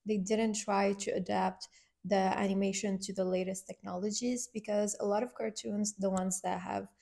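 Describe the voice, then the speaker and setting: Young woman, gravelly fry, mellow dark tone, chatty reviewer. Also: medium pitch, little pitch movement, normal speed. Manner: chatty, explaining with fillers. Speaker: co-host film reviewer. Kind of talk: casual review chat.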